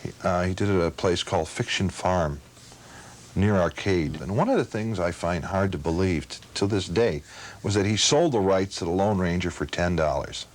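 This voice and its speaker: A man's voice: low-pitched, normal speed. A man, middle-aged or older, talking casually in an interview as a hobby enthusiast. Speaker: old-time radio enthusiast interviewee. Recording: faint background noise.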